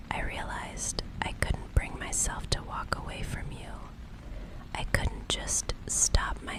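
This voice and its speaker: A woman playing an obsessive, tender private investigator in an ASMR roleplay, speaking in breathy, soothing, intimate reassurance. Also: close to an everyday voice, poor audio. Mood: confessing, attached. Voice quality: breathy, unvoiced whisper. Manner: slow, hushed, soothing reassurance.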